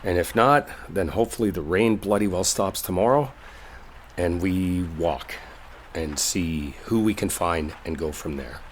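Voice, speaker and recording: A man's voice: deep voice, measured pace, a little hiss or hum. A man acting a brash, exasperated young coworker in an intimate roleplay audio, speaking casually with sarcasm and swearing. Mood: resigned, practical.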